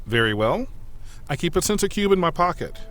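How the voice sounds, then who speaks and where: A man playing a loyal, modest, watchful aide and bodyguard in a science fiction audio drama, speaking in a measured, formal, earnest way. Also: sits high, big pitch swings, a bit bright, a little hiss or hum. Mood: brisk, businesslike.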